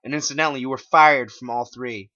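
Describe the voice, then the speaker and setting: Smug male villain alter ego, acted by a man: forceful, mocking, commanding, dull lo-fi sound. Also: medium pitch, fairly even intonation, a little fast, pitched above the performer's own voice, lightly acted.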